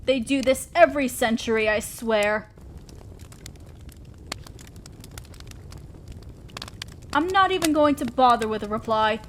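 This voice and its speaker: A woman's voice: on the high side, fairly quick, slight background noise, natural voice. A woman voicing a stern, condescending female demon lord in a scripted roleplay audio drama, speaking as an exacting boss of hell. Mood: businesslike, wry. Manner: commanding, condescending, scolding.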